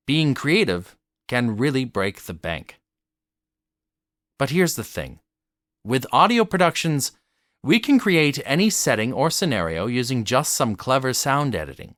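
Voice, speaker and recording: A man's voice: medium pitch, fairly bright tone, dry recording, clean studio sound. A man narrating a scripted video essay in an articulate, rhetorical way, speaking directly to the listener. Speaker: video essayist and theatre educator.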